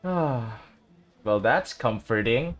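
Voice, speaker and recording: Very low-voiced woman streaming a game. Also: expressive, slow. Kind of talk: casual reactions and reading aloud during gameplay. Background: faint game music.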